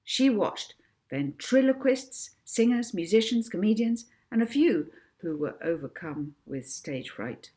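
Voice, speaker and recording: A woman reading a children's book aloud as an expressive narrator. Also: medium pitch, a little slow. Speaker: author reading own book.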